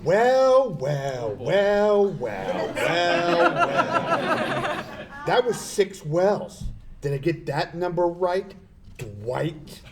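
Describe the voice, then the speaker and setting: An actor playing a slow-witted, smug bar owner in a sitcom table read, with a simple, ponderous delivery. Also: male voice, sits high, huge pitch swings, noisy background, heavily acted. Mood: smug, hostile.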